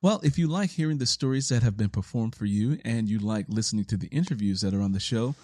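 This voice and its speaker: A man's voice: lowish voice, lively intonation, fast talker, a little mellow. A man speaking as a podcast host in a conversational commentary style.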